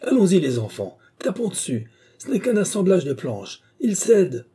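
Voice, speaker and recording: A man's voice: medium pitch, flat delivery, normal speed, mellow, slightly rough. A man voicing an army officer who gives commanding, urgent orders. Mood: rallying shouts. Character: brave male army officer rallying people.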